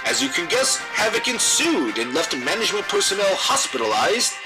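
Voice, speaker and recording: Local news anchor: hugely animated delivery, melodramatic, with music underneath. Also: male voice, sits high, normal speed. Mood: newsy, dramatic. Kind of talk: broadcast news parody.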